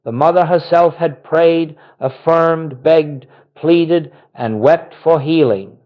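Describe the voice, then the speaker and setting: A man delivering a scripted spiritual sermon in a solemn, gentle manner, with short, deliberate phrases. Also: fairly high pitch, lo-fi sound. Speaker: spiritual teacher.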